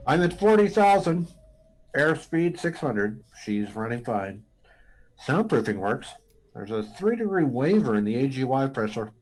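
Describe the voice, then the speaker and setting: A man playing a daring, steady test pilot given to excitement, in radio drama style. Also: mid-range voice, a little slow, rough recording. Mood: focused, reporting.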